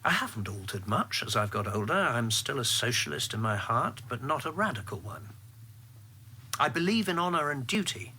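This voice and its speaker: Guarded, lively-voiced government insider. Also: male voice, medium pitch, breathy edge, heavily acted. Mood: firm, defensive. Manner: measured, articulate, drily ironic. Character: ambitious middle-aged male government insider, guarded and reflective. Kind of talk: radio drama narration and dialogue.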